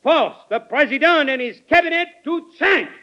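High-voiced envoy, fiery and scheming, old muffled radio drama. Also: male voice, lively intonation, moderate pace, pushed and bright, full character voice. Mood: persuasive. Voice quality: impassioned, declamatory. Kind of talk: 1940s radio acting.

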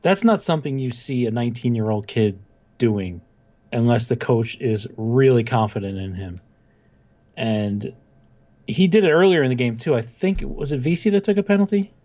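A man's voice: mid-range voice, expressive, moderate pace, warm tone, dull audio. A man co-hosting a sports podcast, chatting casually and giving his opinions as a fan.

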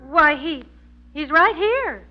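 A gentle American housewife with a fairly high, very lively voice. She is polite and supportive, in 1940s radio sitcom style on a muffled recording. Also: slow pace. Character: mild, supportive housewife and mother.

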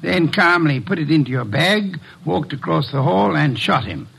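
An actor playing a shrewd, commanding detective boss in an old radio drama, speaking in a deliberate, pompous and erudite way. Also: male voice, a little high, big pitch swings, creaky, fairly bright tone, husky, exaggerated voice. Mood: accusing.

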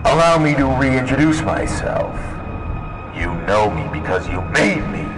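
A man's voice: mid-range voice, very animated, a little slow, over music. A man playing a flamboyant, gleefully menacing clown supervillain in an audio drama, with a theatrical, mocking delivery. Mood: mocking menace. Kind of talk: audio drama villain acting.

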